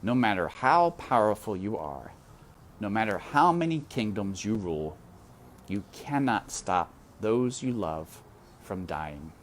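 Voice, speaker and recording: Male storyteller reading chapters aloud, unhurried delivery, wide swooping pitch, speaks straight to the listener. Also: a little hiss or hum. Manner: expressive, speaks to the reader directly. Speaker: reader. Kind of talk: children's book read-aloud.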